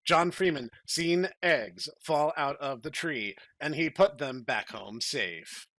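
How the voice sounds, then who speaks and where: Guy podcast host, bright punchy voice, rambles a bit, super dry sound. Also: fairly high pitch, lively intonation, slow, no echo. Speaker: podcast host and story narrator. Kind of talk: casual chat and dramatic reading.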